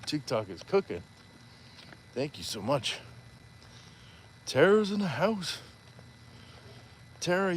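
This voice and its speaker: A man's voice: on the high side, slight background noise. A man hosting a live stream while walking outdoors, chatting casually and reading viewer comments aloud with playful asides. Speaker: livestream host.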